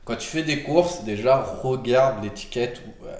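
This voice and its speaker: A man's voice: mid-range voice, measured pace, echoey room. A man with a cold giving casual health advice to camera, rambling with many fillers and restarts. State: has a cold.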